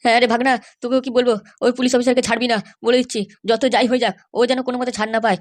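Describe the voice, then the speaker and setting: A man's voice: very high-pitched, level tone, rapid-fire. A man voicing an injured, aggrieved older uncle in an amateur comedy cartoon, complaining emphatically. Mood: aggrieved, vengeful.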